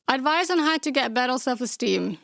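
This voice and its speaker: A young woman with a Somali accent and a fairly high voice hosts a casual question-and-answer podcast. She talks bluntly and outspokenly, tossing in dry sarcastic asides. The sound is close and dry. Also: expressive, fairly quick, gravelly, a bit bright, no echo. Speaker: podcast host.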